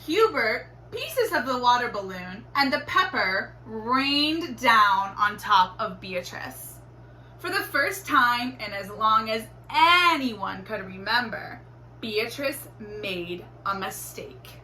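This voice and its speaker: A woman reading a children's storybook aloud in an expressive, deliberate teacherly way. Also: a little high. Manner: animated, deliberate storytelling with acted exclamations. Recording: roomy echo, faint background noise.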